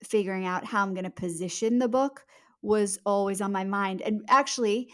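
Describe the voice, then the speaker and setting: A woman speaking as an interviewed author in a conversational podcast style, explaining her points emphatically in measured phrases. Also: medium pitch.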